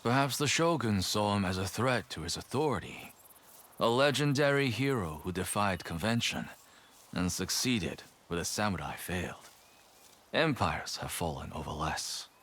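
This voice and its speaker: A man playing a calm, reverent storyteller in a video game, narrating old samurai legends in a measured, grave way. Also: lowish voice. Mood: thoughtful, knowing. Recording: loud music behind the voice. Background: loud music.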